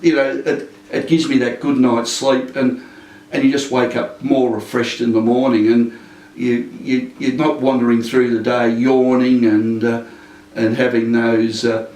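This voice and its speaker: Older man, flat and rambling. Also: mid-range voice, measured pace. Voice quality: loud voice. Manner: rambling, many fillers and restarts. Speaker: interviewee. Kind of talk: casual interview. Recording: echoey room, mic at a distance.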